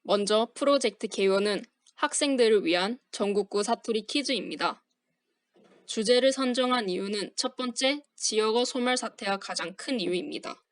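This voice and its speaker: A young woman, a university student, reading a formal project presentation aloud in a steady, scripted manner. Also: a little high, moderate pace, no room sound. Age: young adult.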